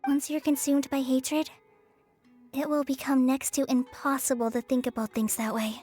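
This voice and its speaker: High-voiced young female traveller. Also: little pitch movement, normal speed, mellow, faint music behind. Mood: reflective. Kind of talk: video game character acting.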